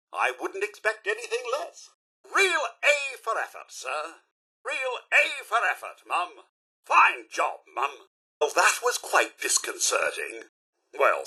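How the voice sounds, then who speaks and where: A chipper, fussy British robot butler from a video game. The voice sits high with a rough, husky edge and leaps dramatically up and down. Every phrase is pompous, prim and formally courteous. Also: male voice, pushed and bright, heavily acted. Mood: effusive praise, then prim disapproval. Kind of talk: theatrical video game character lines.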